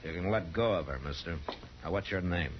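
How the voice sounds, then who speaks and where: An actor playing a steady, authoritative western marshal in a radio drama, speaking in a terse, measured, gruff but decent way. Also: male voice, deep voice, natural voice. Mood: stern. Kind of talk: 1950s radio western acting. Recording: muffled, noisy 1950s radio recording.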